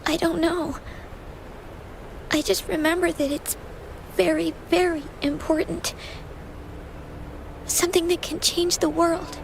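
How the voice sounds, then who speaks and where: A woman playing an earnest, searching young girl in a game, soft-spoken and hesitant. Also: extremely high pitch, flat delivery, takes it slowly, creaky, pauses often, a little hiss or hum, full character voice, poor audio. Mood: uncertain, wistful. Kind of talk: dramatic scripted game dialogue. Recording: music behind the voice.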